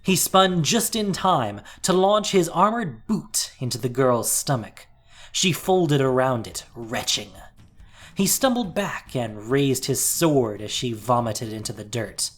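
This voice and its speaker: A woman narrating fantasy fiction in a deliberate, theatrical and intense way. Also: very deep voice.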